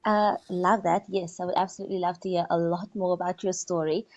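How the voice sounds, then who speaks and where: Breathy woman hosting a podcast. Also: lowish voice, fairly even intonation, a little fast, lo-fi sound. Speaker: podcast host. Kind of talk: scripted intro, then interview.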